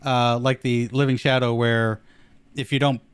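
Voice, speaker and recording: A man with a smooth, resonant voice, recorded close and dry. He co-hosts a casual gaming chat, reading notes aloud and dropping quick easygoing reactions. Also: mid-range voice, flat delivery, no room sound. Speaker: podcast co-host.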